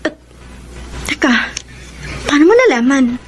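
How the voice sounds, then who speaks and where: A woman's voice: high voice, big pitch swings, unhurried, a little hiss or hum. An actress playing a conflicted young woman in a Filipino radio drama, emotive and coaxing, with a Filipino accent. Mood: defensive. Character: conflicted young nightclub woman, secretly scheming, tender. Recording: dramatic music under the voice. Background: music.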